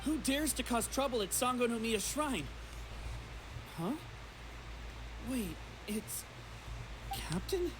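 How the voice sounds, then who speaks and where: Flustered brash soldier guard, game npc, really slow delivery, noisy recording. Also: male voice, very high voice, expressive, exaggerated voice. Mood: challenging, surprised. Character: brash, startled male shrine guard soldier. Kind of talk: video game voice acting.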